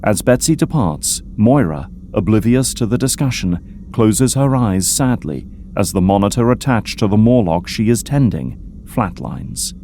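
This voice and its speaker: A man's voice: fairly low pitch, expressive, a little slow, dark tone, slight background noise. A man reading scripted, dramatic third-person narration for an audio drama as its narrator.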